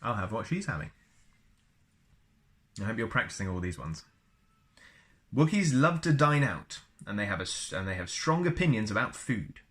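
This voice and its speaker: Bright-voiced man reading aloud. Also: on the low side, big pitch swings, normal speed, long pauses. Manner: chatty, wanders into personal asides. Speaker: storyteller reading books aloud. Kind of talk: read-aloud with casual asides.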